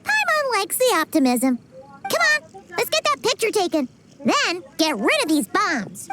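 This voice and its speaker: A woman voicing a small, excitable fairy companion girl in a video game, with a squeaky childlike voice and perky, exaggerated delivery. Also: a little slow, loud music behind the voice, full character voice. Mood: upbeat. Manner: perky, exaggerated, refers to self by name. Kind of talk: video game character voice acting.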